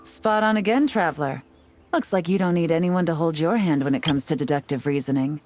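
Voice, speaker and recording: A woman's voice: low voice, a little fast, a little mellow, soft background music, lightly acted. An actress playing a shrewd, composed female investigator in a video game, speaking in an articulate, methodical, confident way. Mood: approving, confident. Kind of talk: scripted game dialogue.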